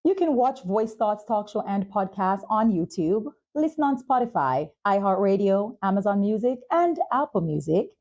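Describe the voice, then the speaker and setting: Woman podcast host, soft dark tone, polished presenter style, medium pitch. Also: animated, normal speed, few pauses. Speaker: talk show host. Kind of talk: scripted sponsor reads and podcast interviewing.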